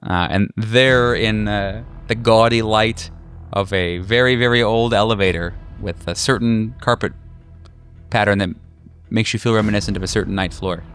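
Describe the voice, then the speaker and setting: Low-voiced man narrating a horror game. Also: a bit bright. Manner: halting, informal, jokey asides. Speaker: game master and show host. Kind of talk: narrating a tabletop horror game, casual banter.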